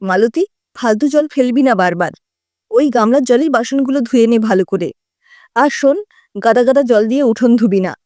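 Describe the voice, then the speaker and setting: A fussy, bossy elderly Bengali mother-in-law as a woman storyteller plays her. She grumbles and chides in a homely way, with a lively, swooping pitch and a soft-edged tone, recorded close and dry. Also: moderate pace, dry recording, natural voice. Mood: bossy scolding.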